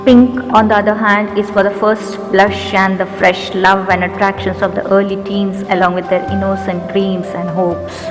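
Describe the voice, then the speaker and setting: A woman's voice: medium pitch, level tone. Indian woman, mid-range voice, English teacher and poet, earnest recital; long reverb, music bed. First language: Urdu. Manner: measured, earnest, slows down for verse lines. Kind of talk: poetry recitation with commentary.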